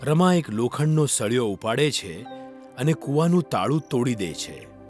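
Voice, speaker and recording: A man's voice: medium pitch, very animated, normal speed, darkish tone. A man narrating a scripted moral story for children. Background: faint music.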